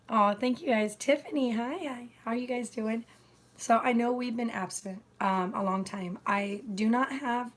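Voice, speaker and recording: A woman hosting her own live stream, chatting casually with viewers, her voice hoarse from a recent illness, halting with many fillers and throat-clearing. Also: medium pitch. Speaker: channel host streaming live.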